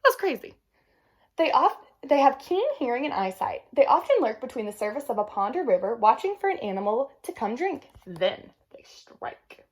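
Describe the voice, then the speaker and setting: A woman reads a nature book aloud for children in a fairly high voice with a husky edge. Her pitch moves around a lot as she goes. She slips between reading the text and casual, teacherly remarks to her young listeners. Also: sing-song, moderate pace. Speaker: read-aloud presenter.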